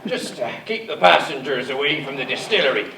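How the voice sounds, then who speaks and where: A blustering steamship captain, acted by a man, heard from a distance on a noisy, echoey stage. The voice is high and creaky, with slow, theatrical radio-parody delivery. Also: lively intonation. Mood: pleased. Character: blustering old-time steamship captain with a smuggler's streak. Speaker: actor in live radio comedy. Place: arts centre stage before live audience.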